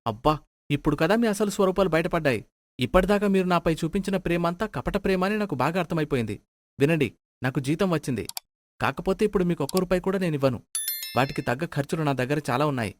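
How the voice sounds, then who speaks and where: An actor playing an exasperated, suspicious working son and husband in a comic moral story, emphatic and indignant. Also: male voice, fairly high pitch, animated, fast, natural voice. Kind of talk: animated moral story character acting.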